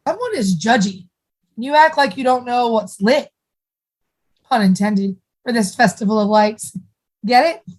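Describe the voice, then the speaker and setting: A woman's voice: a little high, lively intonation, unhurried, long pauses, darkish tone. An actress playing a saccharine, pushy sitcom mother, gushing and primly chiding in a comedic radio play.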